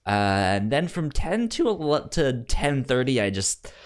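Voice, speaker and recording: A man co-hosting a podcast in casual film chat, halting and hesitant, with a stutter that repeats sounds and words. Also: mid-range voice, big pitch swings. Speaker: podcast co-host.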